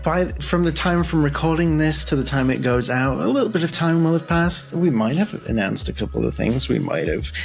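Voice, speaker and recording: A man's voice: a little high, animated, brisk, creaky, few pauses. A man hosting a podcast in a casual interview style, affable and playfully self-deprecating. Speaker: podcast host.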